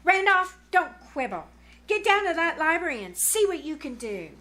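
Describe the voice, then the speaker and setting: An actress playing a melodramatic, lovestruck high school girl in a gushing 1940s radio comedy style. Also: very animated, moderate pace, pushed and bright, full character voice. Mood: urgent, bossy. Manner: gushing, exclamatory, theatrical. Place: live on stage in a small theater.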